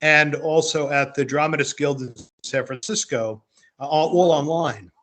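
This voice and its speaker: A man's voice: fairly high pitch, fairly even intonation, normal speed, a bit bright. Man chatting in a reflective way. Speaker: podcast host, playwright and producer. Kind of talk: casual conversational chat.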